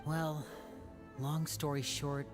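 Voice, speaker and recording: A man playing an earnest, puzzled youth in a video game, telling a story in measured phrases. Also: a little high, little pitch movement, over music.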